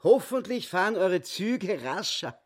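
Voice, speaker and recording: Boastful little swindler, theatrical, pompous, indignant, lively swooping pitch, close dry studio radio drama. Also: male voice, measured pace, no echo, full character voice. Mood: haughty. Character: boastful little swindler man posing as an American gentleman. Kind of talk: German radio play acting.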